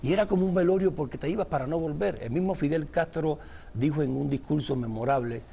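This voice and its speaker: Guy from cuba chatting about his writing in an interview, mellow warm tone, faint hiss behind, rambles thoughtfully. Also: fairly high pitch, quick pace, creaky. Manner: reflective, discursive storytelling. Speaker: author and journalist guest. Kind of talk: interview answers.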